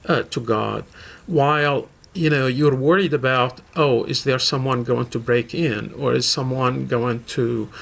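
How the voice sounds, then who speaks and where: A man's voice: mid-range voice, steady tone, unhurried, vocal fry, a bit bright. A middle-aged man from Syria, a guest on a radio talk show, speaking earnestly with many fillers and restarts. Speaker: guest from a local Islamic center. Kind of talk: radio interview.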